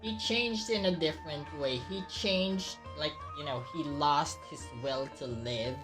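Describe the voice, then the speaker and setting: Low-pitched Filipino woman streamer. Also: unhurried. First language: Tagalog. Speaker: game streamer. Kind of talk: casual reactions to a video game. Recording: game music behind the voice. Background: game music.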